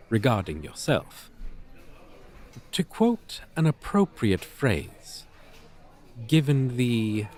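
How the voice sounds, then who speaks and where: A man's voice: medium pitch, darkish tone. A man playing a pompous, flirtatious dragon teacher in an ASMR roleplay, speaking in florid, formal, condescending phrases. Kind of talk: ASMR roleplay, slow theatrical delivery.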